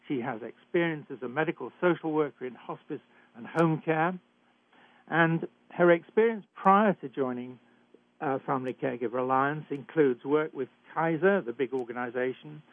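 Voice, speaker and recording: An older British-accented man, a physician, hosting a talk radio show in a measured, emphatic way with some hesitations. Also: a little high, no room sound. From Britain. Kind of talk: hosted talk radio introduction. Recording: dry, phone-like narrow sound.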